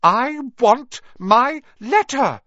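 An indignant young boy from a storybook, played by a male reader. He is stubborn and forceful, his pitch pushed high. The sound is a touch dull. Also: lively intonation, extremely slow, no echo, full character voice. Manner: insistent, forceful.

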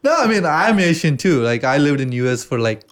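A man's voice: fairly high pitch, sing-song. Casual Indian-accented man hosting. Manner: loose, swearing, halting restarts. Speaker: podcast host. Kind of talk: casual podcast chat.

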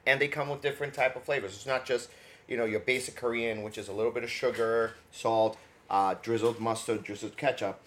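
A man's voice: mid-range voice, fairly quick, slight background noise. A young man from New co-hosting a food podcast, chatting casually, telling stories animatedly and laughing often. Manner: animated storyteller, laughs often, gets loud when excited. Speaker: podcast co-host. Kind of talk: casual chat.

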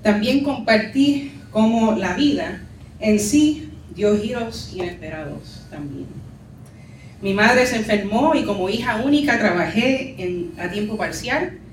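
A woman pastor from Puerto Rico, raised in New York, with a Nuyorican Spanish accent, giving an earnest and measured conference talk. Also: medium pitch, expressive, slight background noise. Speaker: pastor and lecturer. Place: conference hall. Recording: echoey hall, distant mic, faint music.

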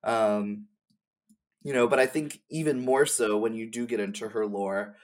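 A man's voice: lowish voice, fairly even intonation, a little slow. A man talking casually as a podcast guest, in an effusive, slangy way. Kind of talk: casual chat.

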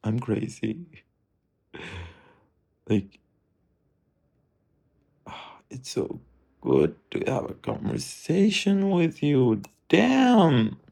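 Guy rambling to himself, dark mellow voice, lotta fry, cursing and trailing off. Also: medium pitch, wildly swooping pitch, very slow, pauses often. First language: Turkish. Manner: musing, drifting, profane, softly trailing off. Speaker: streamer. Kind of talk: rambling self-talk monologue.

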